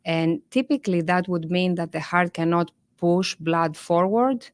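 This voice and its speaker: A woman with a Greek accent explains medical topics in a careful, measured way, pausing and restarting as she goes. Her voice sits a little low for a woman. The sound is dry and close. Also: dry recording. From Greece. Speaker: cardiologist guest. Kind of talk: interview conversation.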